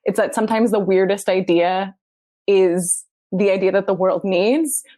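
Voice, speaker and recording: A woman's voice: mid-range voice, measured pace, warm tone. A woman giving informal, encouraging advice as a podcast professional on a panel, explaining her point conversationally.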